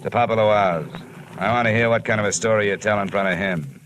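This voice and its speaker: An actor playing a wry, hard-boiled insurance investigator in a mid-century radio detective drama. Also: male voice, fairly low pitch, brisk, fairly bright tone, close to an everyday voice. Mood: sardonic. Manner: sardonic, tough, wisecracking. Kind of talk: 1950s radio detective acting, first-person narration and dialogue. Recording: noisy vintage radio recording.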